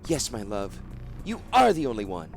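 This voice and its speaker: A man voicing a gentle, sorrowful wife who speaks softly and pleadingly in a raised voice. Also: lowish voice, wildly swooping pitch, moderate pace, soft background music. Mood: tender.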